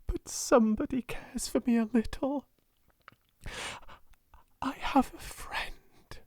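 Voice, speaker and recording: A woman's voice: high-pitched, slow pace, a little mellow, no room sound, voice pushed up. A woman voicing a young storybook girl, breathless and overjoyed with wonder, in panting, half-whispered exclamations. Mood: moved, urgent whisper. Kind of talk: storybook character voice in audiobook reading.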